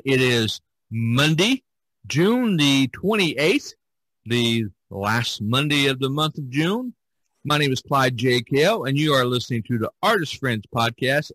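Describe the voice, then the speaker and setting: A man hosting a casual podcast, speaking in a halting, deliberate way. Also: medium pitch, a bit bright, low-quality recording. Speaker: podcast host.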